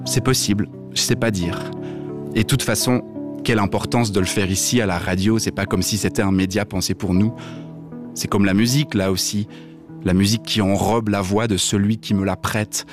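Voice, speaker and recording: A man's voice: on the low side, fairly even intonation, moderate pace, lightly acted. A man playing a deaf man who dislikes reading novels, speaking a blunt scripted radio monologue over music. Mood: earnest. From Switzerland. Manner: blunt, mildly provocative, direct address. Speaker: radio columnist.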